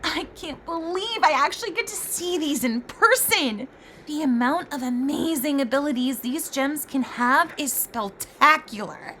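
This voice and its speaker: A woman playing an earnest, excitable, bookish fairy scholar who lectures about magic in a scripted roleplay. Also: high-pitched, a little slow, slight background noise, heavily acted. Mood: eager, pleading. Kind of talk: scripted roleplay voice acting with storytelling. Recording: music behind the voice.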